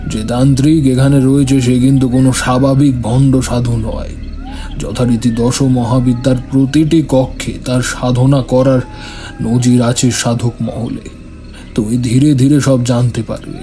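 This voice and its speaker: A man's voice: mid-range voice, level tone, unhurried, warm tone, faint music behind, close to an everyday voice. A man playing a stern, authoritative tantric holy man who admonishes formally in a horror audio drama. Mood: stern, admonishing.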